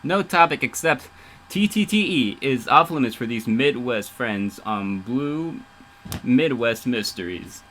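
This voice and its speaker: A man's voice: medium pitch, animated, measured pace, a bit bright, slight background noise. A man hosting a casual livestream radio show as DJ, playful and rambling, with emphatic announcing.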